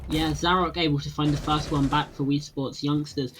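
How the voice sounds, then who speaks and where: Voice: mid-range voice, flat delivery. Esports caster calling shooter game action. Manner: measured analysis, dry humor. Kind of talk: play-by-play commentary. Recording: broadcast with noisy game audio.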